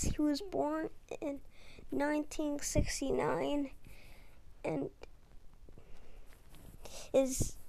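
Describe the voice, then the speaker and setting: A young girl talking in a hushed, often whispered voice late at night at home, with halting restarts. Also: high voice, creaky, slight background noise, low-quality recording. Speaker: video uploader. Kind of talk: casual talk over a screen recording. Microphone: tablet mic.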